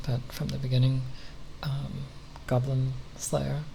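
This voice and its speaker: A soft-spoken ASMR creator rambling unscripted, halting and often pausing mid-phrase. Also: mid-range voice, completely flat delivery, vocal fry, slight background noise, rough recording.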